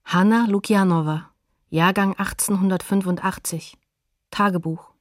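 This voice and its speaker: A woman's voice: mid-range voice, fairly even intonation, normal speed, no echo, studio quality. A woman announcing sources in a scripted documentary, factual and clipped.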